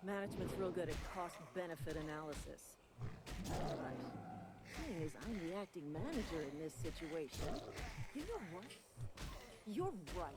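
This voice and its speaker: High-pitched folksy company guard over loud noise. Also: male voice, very animated, moderate pace, pauses often. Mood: resolute. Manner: folksy drawl, earnest company-slogan patter. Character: wounded company-loyal male frontier guard, folksy and officious. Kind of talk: video game character acting.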